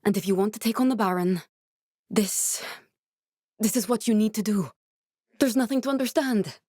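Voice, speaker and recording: A woman voicing an earnest, guarded young female scientist survivor in a video game, speaking in a measured, serious way. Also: medium pitch, mellow, no echo, clean studio sound, lightly acted. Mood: serious, focused. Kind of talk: dramatic video game dialogue.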